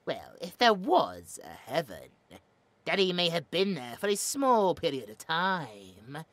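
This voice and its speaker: A man as a bright-voiced smug British mad scientist. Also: a little high, huge pitch swings, slow pace, no echo, exaggerated voice. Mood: mock-sweet. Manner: taunting, theatrical, clinical and precise. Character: smug, cruel mad scientist obsessed with research. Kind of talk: audio roleplay drama.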